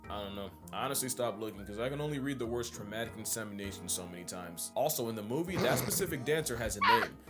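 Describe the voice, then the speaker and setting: A man giving scripted comedic science narration in a wisecracking, slangy way with deadpan jokes. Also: fairly low pitch, fast talker, few pauses. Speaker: comedic nature video narrator. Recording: background music.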